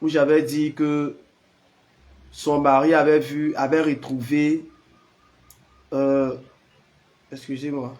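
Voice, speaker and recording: A man's voice: on the high side, little pitch movement, slow pace, long pauses, a little mellow. A man with the flu, a prayer leader chatting casually with live-stream viewers and greeting them by name. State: has the flu.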